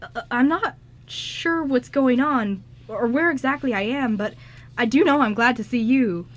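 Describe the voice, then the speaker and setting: A woman playing an anxious, confused young man in an amateur radio drama, stammering and pleading. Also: high-pitched. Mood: confused, relieved. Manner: stammering, halting.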